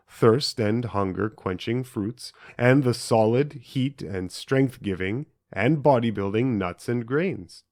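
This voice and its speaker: A man reading scripted audiobook narration in deliberate, measured phrases with emphatic stresses. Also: mid-range voice. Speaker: audiobook reader.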